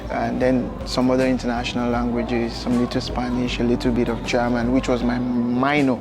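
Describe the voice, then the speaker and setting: A young Nigerian man answers interview questions in a casual, relaxed way, filling pauses with "um" and "you know". His pitch stays flat and even. Music runs clearly behind him in a bright lounge. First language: Yoruba. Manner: relaxed, gestures with hands, fills with "you know" and "um". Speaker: guest. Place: bright lounge with sofas, indoors.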